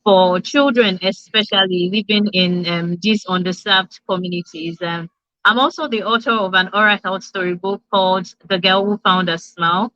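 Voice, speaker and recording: Nigerian lady talking earnestly, lots of ums, bright tense voice, dull dry lo-fi sound. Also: mid-range voice, steady tone, normal speed, no room sound. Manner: earnest, with frequent ums and restarts. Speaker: dentist guest.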